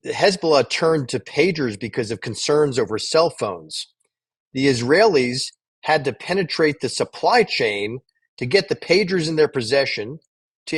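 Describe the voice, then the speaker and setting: A man co-hosting a talk podcast with conversational commentary in a husky voice. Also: mid-range voice. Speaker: podcast co-host.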